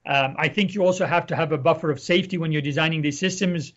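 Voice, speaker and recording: Middle-aged man from Egypt, a researcher guest explaining things in a measured way, slightly high voice, dull lo-fi call sound. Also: a bit bright. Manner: measured, explanatory, with frequent ums. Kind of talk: interview answers.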